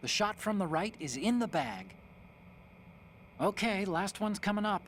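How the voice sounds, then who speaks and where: An actor playing an excitable young male support partner in a video game, chatty and encouraging. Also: high voice, unhurried, very noisy. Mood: upbeat, focused.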